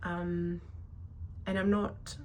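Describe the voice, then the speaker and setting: A woman's voice: fairly low pitch, little pitch movement, very slow, darkish tone, slight background noise. A woman in her thirties giving an unscripted personal vlog monologue, speaking hesitantly and often circling back to correct herself. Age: 30s. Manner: hesitant, rambling, self-correcting. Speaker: video creator talking to viewers.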